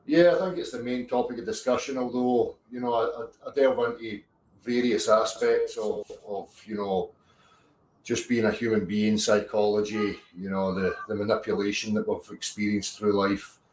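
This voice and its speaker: A reserved man, interviewed as a guest over a live call, speaks softly and hesitantly with many restarts. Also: fairly low pitch, fairly even intonation, moderate pace. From Scotland. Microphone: remote call mic. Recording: distant echoey mic on a live call.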